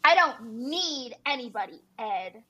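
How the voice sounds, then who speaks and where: A woman's voice: high-pitched, expressive, a little slow, sharp tone, exaggerated voice. A woman reading the part of an angry, insecure, foul-mouthed fiancée in a dramatic reading of a reality TV fight. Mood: indignant. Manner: combative, sweary, accusatory.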